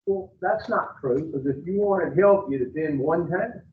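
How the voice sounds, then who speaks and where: A man's voice: a little high, a little slow, slight background noise. A man, bluntly questioning new clients at an addiction treatment intake. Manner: blunt, confrontational, drawling. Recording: far-off phone-like audio in an echoey room.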